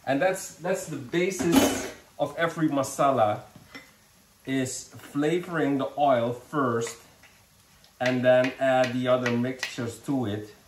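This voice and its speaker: A man with a Dutch accent, Dutch his first language, explains a home recipe in a relaxed, halting way full of fillers and restarts. His voice is mid-pitched, and it rings around a roomy kitchen from a little way off the mic. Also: distant mic, background noise. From Suriname. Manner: friendly, hesitant, many fillers and restarts. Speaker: cooking channel host. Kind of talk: casual home cooking demonstration.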